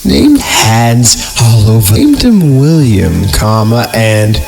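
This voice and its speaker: Male podcast host, lowish voice, unhurried horror narration and casual commentary, music bed underneath. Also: big pitch swings, creaky. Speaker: podcast host and story reader. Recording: voice over loud background music.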